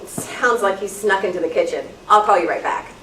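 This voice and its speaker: A woman playing an exasperated young babysitter growing frightened in the dark, in an amateur radio thriller. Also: medium pitch, moderate pace, lightly acted. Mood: exasperated, chatty. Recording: echoey room, distant mic, background noise.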